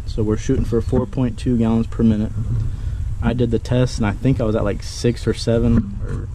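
A man's voice: medium pitch, level tone, moderate pace, background noise. Man chatting through an outdoor how-to. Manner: chatty, talks while working, addresses viewers. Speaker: pressure washing business owner. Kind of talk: casual how-to demonstration. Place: outdoors under a metal carport shelter. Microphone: handheld action camera, point of view. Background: rain and running water.

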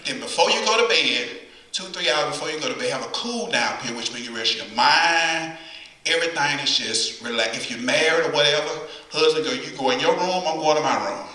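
Middle-aged preacher, bright voice, echoey church. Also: male voice, fairly high pitch, lively intonation, a little fast. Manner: folksy, repeats phrases for emphasis. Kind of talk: conversational sermon with call and response. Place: church sanctuary at the pulpit. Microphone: far camera, pulpit mic. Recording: echoey church from far camera.

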